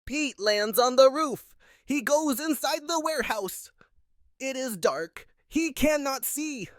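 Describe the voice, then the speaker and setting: A woman reading a children's picture book aloud in simple, expressive narration. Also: sits high, slow, no room sound, studio quality. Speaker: read-aloud storyteller.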